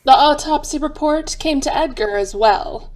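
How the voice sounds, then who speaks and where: A woman's voice: high-pitched, normal speed, close to an everyday voice, rough recording. A woman playing a knowing, dry narrator of a radio crime drama. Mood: measured. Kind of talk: scripted old-time radio narration.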